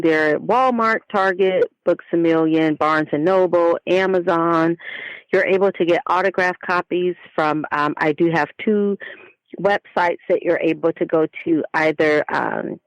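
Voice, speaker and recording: A woman's voice: on the low side, unhurried, dry recording, muffled recording. Female author guest, conversational, emphatic list-like delivery, very little room sound. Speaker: guest author and narrative coach. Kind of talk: casual interview chat.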